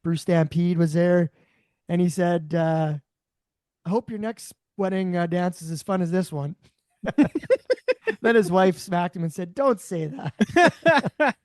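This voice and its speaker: A man with a raspy voice, co-hosting a podcast, rambling through casual chat. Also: sits high, slow, long pauses, no echo, clean studio sound. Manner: rambling, warm storytelling. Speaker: podcast co-host.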